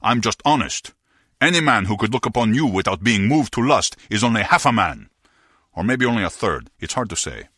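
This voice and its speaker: A man's voice: fairly low pitch, sing-song, brisk, sharp tone, husky, no echo, clean studio sound, full character voice. An actor playing a lustful male henchman with a cheeky, theatrical romantic streak. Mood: cheeky leering. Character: lustful henchman turned flowery would-be romantic.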